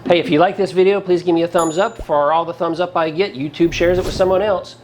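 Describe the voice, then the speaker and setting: A man's voice: high-pitched, steady tone, fast. A man hosting a casual product review, talking in a plain-spoken, folksy, conversational way. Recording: clearly noisy background ambience.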